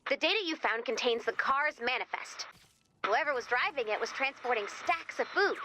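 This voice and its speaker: A woman playing an eager, curious young researcher who guides players in a video game, chatty and expressive as she thinks aloud. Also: high-pitched, normal speed, slight background noise. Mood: encouraging. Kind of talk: scripted video game dialogue.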